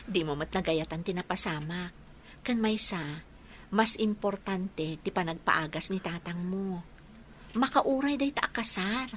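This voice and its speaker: A woman's voice: lowish voice, animated, a little slow, a little hiss or hum. An actress playing a kind, patient young woman in a radio drama. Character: kind, patient young fiancée and dutiful daughter. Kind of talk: Ilocano radio drama dialogue.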